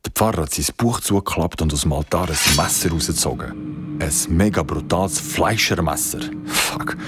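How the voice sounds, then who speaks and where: Deep-voiced, slangy young guy telling stories. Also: huge pitch swings, normal speed, over loud music. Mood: shocked. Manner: slangy, easily amazed, casual. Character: Besim, casual young male wedding guest recounting a horror. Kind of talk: colloquial radio drama storytelling.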